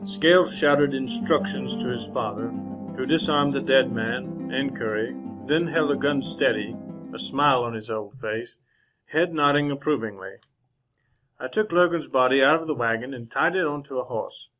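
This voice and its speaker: Male actor as gentleman newspaperman, Wild West radio drama, formal, measured, honorable, medium pitch, plucked guitar backing. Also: lightly acted, rough recording. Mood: somber narration. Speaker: actor narrating as lead character. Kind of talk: re-created old-time radio western drama.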